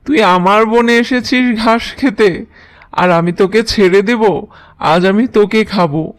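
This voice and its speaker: A man's voice: high-pitched, measured pace. A man voicing a greedy, gullible lion king in a children's cartoon, talking down to others in a bossy way. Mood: menacing, bossy. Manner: imperious, talks down to others. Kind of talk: children's cartoon story dialogue.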